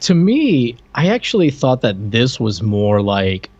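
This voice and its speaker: A man's voice: medium pitch, very animated, a little slow, darkish tone, breathy edge, no echo. A man co-hosting a podcast, chatting casually, on a slightly muffled recording. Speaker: podcast co-host. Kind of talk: casual chat about cartoons. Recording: slightly dull, lo-fi microphone sound.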